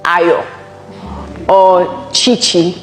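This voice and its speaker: A woman's voice: lowish voice, flat delivery, very slow, soft background music. A woman from Nigeria speaking animatedly on a panel, laughing between points. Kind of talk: casual panel discussion. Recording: echoey hall with audience.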